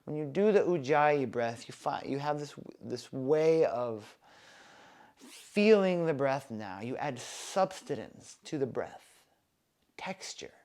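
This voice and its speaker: A man guides a breathing meditation in an even, mid-range voice. He talks very slowly and leaves long gaps between words. His tone stays soft and soothing throughout. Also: mid-range voice. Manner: slow, soft, calm and soothing guidance. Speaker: breathwork instructor.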